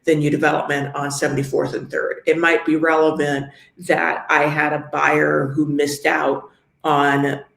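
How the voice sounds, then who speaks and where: A middle-aged woman from New York, a real estate agent, speaking as a guest in an interview with a measured, understated manner. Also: deep voice, level tone, creaky, a bit bright, echoey room. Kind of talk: interview conversation.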